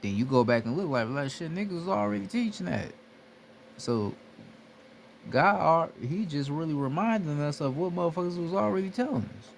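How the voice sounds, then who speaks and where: Gravelly man preaching casually. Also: a little high, animated, moderate pace, slight background noise. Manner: blunt, repetitive, heavy profanity. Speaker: spiritual teacher. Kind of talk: casual motivational monologue.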